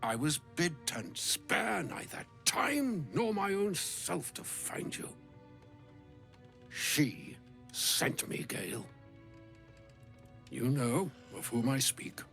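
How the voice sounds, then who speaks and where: Pompous old wizard, archaic wording, creaky voice, sweeping pitch, video game fantasy. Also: male voice, very animated, slow, long pauses, fairly bright tone, faint music behind, full character voice. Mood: grumbling, weary. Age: older. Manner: pompous, archaic, ornate speech. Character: ancient famed wizard, kindly, grumbling, pompous old man. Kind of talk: video game character acting.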